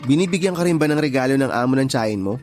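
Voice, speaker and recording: A man playing an earnest, friendly young coworker in gentle, conversational radio drama dialogue. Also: medium pitch, animated, racing, soft background music, close to an everyday voice. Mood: concerned.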